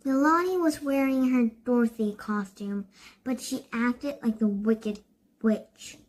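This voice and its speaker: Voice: mid-range voice, unhurried, low-quality recording. A child reading a storybook aloud, word by word, with stumbles and self-corrections.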